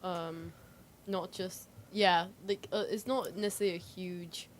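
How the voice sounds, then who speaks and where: A woman's voice: lowish voice, fairly even intonation, unhurried. A woman co-hosting a casual chat, talking hesitantly with lots of filler words. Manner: hesitant, frequent "like" hedging. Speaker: co-host. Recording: faint background noise.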